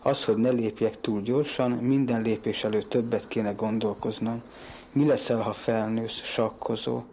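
A man, the girl's father, speaking in a formal, deliberate way in an archival radio interview. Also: mid-range voice, steady tone, darkish tone, a little hiss or hum. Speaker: father of the girl.

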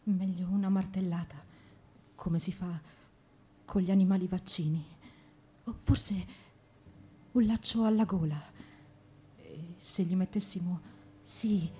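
A woman's voice: medium pitch, mellow, lightly acted. A woman playing a timid, dithering spinster, murmuring hesitantly in broken phrases in radio theatre. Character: timid, dithering spinster musing on gentle killing.

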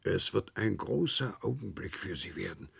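Creaky, patronising test supervisor. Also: male voice, low voice, animated, heavily acted. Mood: patronising, solemn. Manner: didactic, hesitant pauses, condescending. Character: male instructor, patronising, meticulous, controlling test supervisor. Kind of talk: German science-fiction radio play acting.

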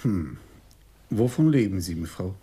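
Senior commissioner, radio crime drama: older, lowish rough-edged voice, formal, calmly interrogating. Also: male voice, exaggerated voice. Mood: calm, probing. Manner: measured, formal, probing. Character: composed, courteous but probing senior police commissioner. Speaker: police commissioner.

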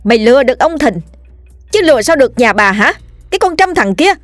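A woman voicing a furious, jealous middle-aged village chief's wife who screams shrill insults. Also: big pitch swings, extremely slow, pushed and bright, no room sound, faint music behind, full character voice. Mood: screaming rage.

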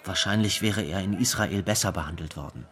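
An actor playing the grieving brother of a dead singer, reminiscing thoughtfully in a radio play monologue. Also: male voice, low voice, brisk, fairly bright tone. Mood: reflective, sad.

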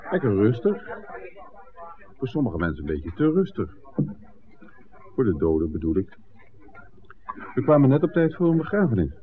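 A man's voice: mid-range voice, very animated, moderate pace, long pauses, narrow, tinny audio, close to an everyday voice. A man playing an inquisitive, suspicious film maker in an old radio drama, articulate, probing and wry. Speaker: radio play actor. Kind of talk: 1960s radio drama acting. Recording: thin, noisy old radio recording.

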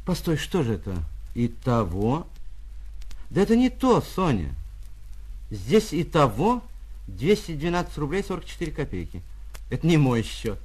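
Man acting a sentimental lodger in a storybook, fussy and emphatic, flustered about money, very animated. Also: a little high, measured pace, husky, pitched above the performer's own voice, full character voice. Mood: flustered disbelief.

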